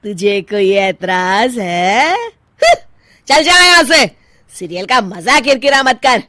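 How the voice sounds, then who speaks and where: A woman's voice: on the high side, animated, slow, pushed and bright, dry recording, clean studio sound, full character voice. A woman voicing a bossy, fearless older mother-in-law in a cartoon moral story, scolding, taunting and commanding.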